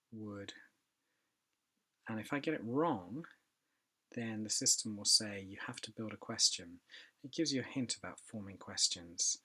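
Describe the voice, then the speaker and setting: A man casually walking through a demo of a learning app, thinking aloud with many pauses and asides. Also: lowish voice, vocal fry, bright, forceful tone, slightly rough. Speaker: product demonstrator. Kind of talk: casual software demo walkthrough.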